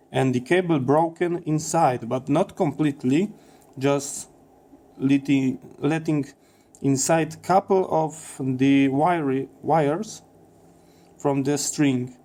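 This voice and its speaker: Guy w non-native english doing a repair demo, really slow n halting, medium pitch, explains each step. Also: warm tone. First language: not English. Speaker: electronics repair presenter. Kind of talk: hands-on repair demonstration.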